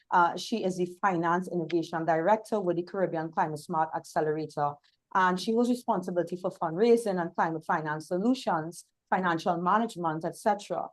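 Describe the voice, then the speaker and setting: A woman's voice: on the low side, low-quality recording. A woman with a Trinidadian accent, moderating a hybrid panel session and introducing the speakers formally, with some fillers. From Trinidad and Tobago. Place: outdoor hot venue, hybrid session.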